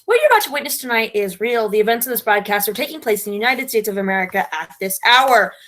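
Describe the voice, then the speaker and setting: A grandiose, imperious female director acted by a woman in a theatrical comedy. The voice sits fairly high and cuts through brightly, swinging widely in pitch as she lords it over everyone as a self-declared genius. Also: expressive, quick pace. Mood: grand announcing.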